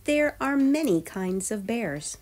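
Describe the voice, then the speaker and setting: A woman reading a children's nonfiction book aloud as storyteller, expressive and addressing young listeners directly. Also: on the high side, slow. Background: background music.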